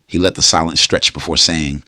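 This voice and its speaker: Male narrator, very low voice, intimate bedtime storytelling, dry booth sound. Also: steady tone, a little fast, bright, forceful tone, dry recording. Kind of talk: scripted storytelling.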